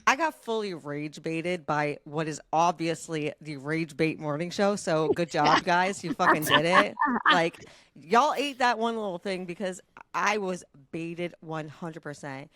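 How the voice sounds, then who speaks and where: A woman's voice: on the low side, unhurried, a bit bright, no echo. A woman co-hosting a podcast, giving an indignant, emphatic rant with free swearing. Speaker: podcast co-host. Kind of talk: opinionated casual podcast rant.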